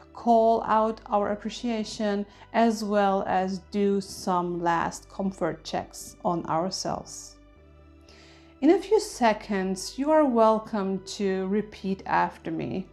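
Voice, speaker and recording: A woman leading a guided meditation in an ASMR style, speaking gently and soothingly with deliberate phrasing. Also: mid-range voice. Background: soft music with chimes.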